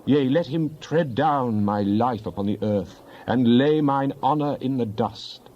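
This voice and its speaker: A man performs a fervent psalmist addressing God in a dramatized scripture production. The delivery is solemn and declamatory, at a medium male pitch. The recording sounds a little dull, with limited top end. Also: measured pace, a little hiss or hum, lightly acted. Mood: anguished pleading. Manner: solemn, declamatory, archaic diction. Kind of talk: dramatized King James Bible reading.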